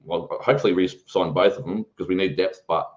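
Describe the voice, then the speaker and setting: A man with an Australian accent talking about his team as a podcast guest, rapid and full of opinions. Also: low voice, highs cut off. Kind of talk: casual sports chat.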